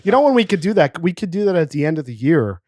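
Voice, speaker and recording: A man's voice: on the high side, big pitch swings, fast, darkish tone, dry recording, studio quality. A man hosting a casual podcast, reading listener letters aloud in a joking way. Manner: reads letters aloud, jokey. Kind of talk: casual chat. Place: cigar lounge.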